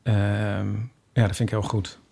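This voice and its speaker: A man's voice: fairly low pitch, little pitch movement, a little slow, vocal fry, dark tone. A man, a podcast guest telling anecdotes in a modest, informal way. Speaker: podcast maker, guest on the show. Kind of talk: casual chat, telling anecdotes.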